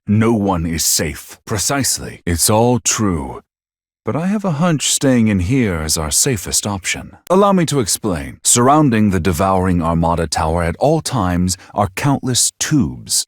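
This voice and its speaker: An actor playing a polite but scheming, secretly crazed video game villain, with formal, articulate, theatrical phrasing. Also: male voice, deep voice, dry recording, clean studio sound.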